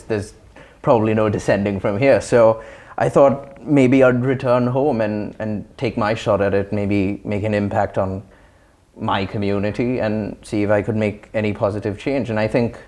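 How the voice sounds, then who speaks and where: A young man from Sri Lanka answering interview questions in a thoughtful, articulate, measured way. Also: on the low side, darkish tone. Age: young adult. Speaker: interview guest. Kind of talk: reflective interview talk.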